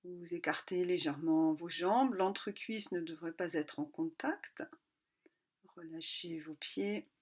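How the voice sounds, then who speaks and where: A woman yoga nidra instructor leading a guided relaxation in a calm, unhurried way, pausing between short instructional phrases. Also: lowish voice.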